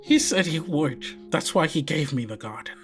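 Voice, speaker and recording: A man voicing a monstrous spider woman in a tabletop game, speaking in terse, hushed, pained words. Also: fairly high pitch, expressive, normal speed, faint music behind, close to an everyday voice. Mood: sad, insistent. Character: monstrous spider woman, sorrowful, clinging to a promise.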